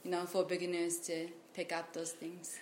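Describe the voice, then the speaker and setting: A woman's voice: fairly low pitch, normal speed. A woman whose first language is Japanese, an interpreter and teacher, chatting casually in an interview with many "like" fillers and frequent laughs. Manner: relaxed, fillers like "like", laughs often. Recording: echoey room with faint noise.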